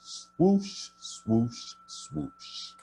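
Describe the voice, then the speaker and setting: An older man reading aloud to children in an expressive, animated way, sometimes stumbling and rereading a phrase. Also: medium pitch, very slow, vocal fry, a little hiss or hum. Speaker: storytime host reading picture books.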